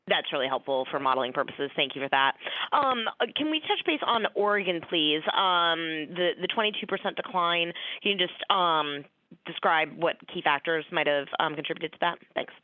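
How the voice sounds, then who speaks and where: A woman asking questions as an analyst on a conference call, polite and halting, over the phone. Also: lowish voice, no echo. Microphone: telephone. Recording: dry, narrow phone line.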